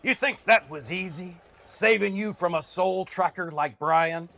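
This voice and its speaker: An actor playing a gruff, whiskey-loving escort of souls in an audio drama, sarcastic and put-upon, with a husky, gravelly voice. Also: male voice, high voice, unhurried, pushed and bright, exaggerated voice. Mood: sarcastic, aggrieved. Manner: sardonic, exasperated, threatening. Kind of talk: dramatic audio fiction acting.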